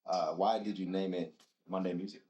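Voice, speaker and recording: A man's voice: deep voice, steady tone, moderate pace, darkish tone, lo-fi sound. A man hosting a radio interview, asking questions in a slangy, easygoing way and sometimes stumbling over them. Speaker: radio show host. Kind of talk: casual interview chat.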